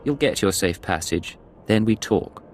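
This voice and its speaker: Low-voiced, guarded secret agent. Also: male voice, animated, measured pace, a little mellow, soft background music. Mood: guarded, professional. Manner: curt, controlled. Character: guarded male undercover imperial agent, cool professional.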